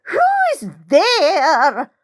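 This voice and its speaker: A woman voicing a strange, impatient old lady with a thin, high, trembling voice in a storybook reading. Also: huge pitch swings, exaggerated voice. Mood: suspicious, barking. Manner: barking, slow, drawn-out questions.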